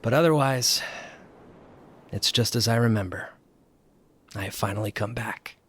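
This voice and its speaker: An actor playing a fearful, solitary male professor who narrates an audio drama in a grave, hushed, deliberate way. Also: on the low side, heavy background noise, natural voice. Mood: wistful, reflective. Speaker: narrator and lead character.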